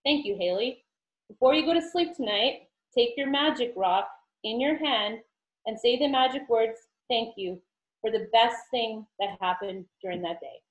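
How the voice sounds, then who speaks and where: A woman's voice: on the high side, moderate pace. A woman speaking as a guest reader in an inspirational group, on a muffled, echoey recording.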